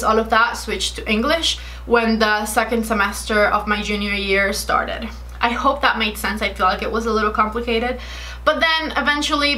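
A woman's voice: fairly high pitch, bright, forceful tone, poor audio. A woman in her late twenties with a Cuban Spanish accent, chatting to viewers in a get-ready-with-me video while putting on makeup. She is talkative and friendly and explains things at length. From Cuba. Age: 20s. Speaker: beauty vlogger. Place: bright indoor room with shelves. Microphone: camera in front at desk distance.

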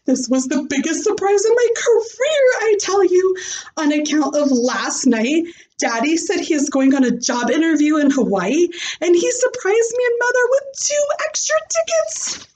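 A woman voicing an excitable, boastful young schoolgirl in a storybook, emphatic and exclamatory. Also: very high voice, moderate pace, pitched above the performer's own voice. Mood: excited. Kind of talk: acted children's storybook reading. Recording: slightly noisy home recording with clicks.

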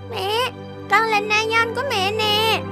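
A woman's voice: very high-pitched, little pitch movement, a little slow. A woman voicing a sweet, consoling little girl in a cartoon drama, tender and childlike. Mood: sweet, glad. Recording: studio voice under loud children's music.

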